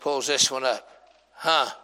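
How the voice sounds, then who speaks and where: Bright-voiced preacher in a hall. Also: male voice, fairly high pitch, lively intonation, unhurried. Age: 40s. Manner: humorous, teasing the congregation, builds to shouting. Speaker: pastor. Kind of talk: sermon. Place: church sanctuary.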